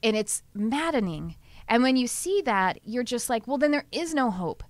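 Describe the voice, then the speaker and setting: A woman with a Texas accent hosting a podcast, talking casually and lively, often laughing and speeding up in bursts. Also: fairly high pitch, normal speed, no room sound. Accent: Texan. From Southern US. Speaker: podcast host and channeler. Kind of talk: casual conversational podcast chat.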